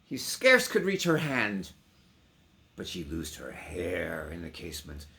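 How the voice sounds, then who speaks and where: Guy doing a dramatic poem reading, really slow, huge pitch swings, theatrical. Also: wildly swooping pitch. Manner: theatrical, declamatory, sharp dynamic contrasts. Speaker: poetry reader. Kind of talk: dramatic poetry recitation.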